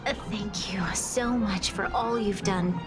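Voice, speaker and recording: Actress as gracious young female leader, dignified, mid-pitched, game dialogue, loud music behind. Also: unhurried, lightly acted. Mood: grave, grateful. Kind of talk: anime-style video game voice acting. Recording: loud background music under the voice.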